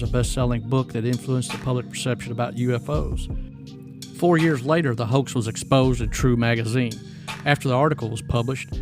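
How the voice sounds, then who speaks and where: A man hosting a podcast, speaking in a scripted narration style. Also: mid-range voice. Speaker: podcast host.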